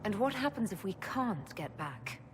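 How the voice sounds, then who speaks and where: A woman playing a level-headed, earnest young adventurer in a video game, speaking in a measured way. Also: medium pitch, sing-song, background noise, natural voice. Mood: concerned. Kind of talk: video game dialogue acting.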